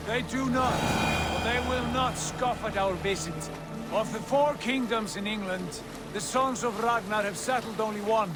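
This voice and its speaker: An actor playing a wary, commanding Viking leader in a video game cutscene, measured and authoritative. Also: male voice, high-pitched, close to an everyday voice. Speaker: leader of a raiding crew. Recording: loud music and water behind dialogue. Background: game music, water, boat sounds.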